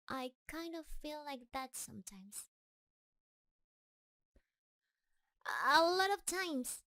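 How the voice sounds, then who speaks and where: A woman's voice: high voice, a bit bright, exaggerated voice. A woman playing a shy, sweet, lovestruck spider monster in an intimate roleplay audio, nervous and hesitant in her delivery. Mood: earnest, anxious. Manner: nervous, hesitant, stammering, gushing.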